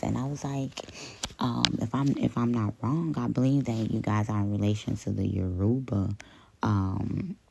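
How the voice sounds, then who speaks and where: A woman with a deep, raspy voice chatting to her viewers and telling a story in a casual, rambling way, with many ums and some half-whispered asides. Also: background noise. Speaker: channel host.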